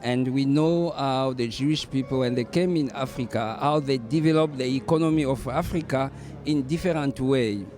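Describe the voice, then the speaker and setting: Earnest Congolese-accented reverend, music behind. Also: male voice, mid-range voice, a little mellow. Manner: earnest, halting with many fillers and restarts. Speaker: reverend, event organizer. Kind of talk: interview answers. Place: hotel dinner event.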